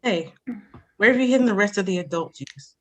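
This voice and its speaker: A woman's voice: mid-range voice, expressive, fairly quick, fairly bright tone. A woman reading the part of a breezy, bantering friend in a screenplay table read.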